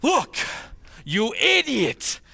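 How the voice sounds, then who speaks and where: A woman's voice: a little high, wildly swooping pitch, very slow, creaky, sharp tone, husky, exaggerated voice. A woman playing an exasperated, know-it-all straight man in an old-time radio comedy duo, explaining impatiently and insistently.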